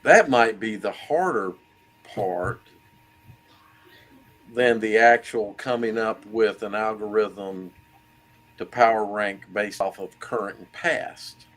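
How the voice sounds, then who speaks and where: A man co-hosting a casual sports talk show, speaking in a thoughtful way that trails off quietly. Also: fairly low pitch, slow, pauses often. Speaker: co-host.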